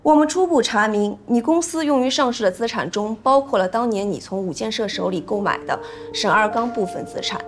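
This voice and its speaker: An actress playing a stern female investigator who reads out findings in a crisp, formal official manner. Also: fairly high pitch, fairly quick, few pauses, faint music behind, natural voice.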